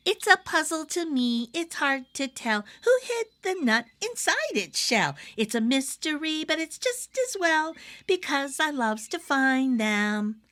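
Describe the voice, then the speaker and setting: A woman's voice: high voice, very animated, measured pace, hardly any pauses, sharp tone, pitched above the performer's own voice, exaggerated voice. A woman voicing a kind, confident little boy bear cub in a children's storybook, reassuring and upbeat. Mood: sing-song, playful.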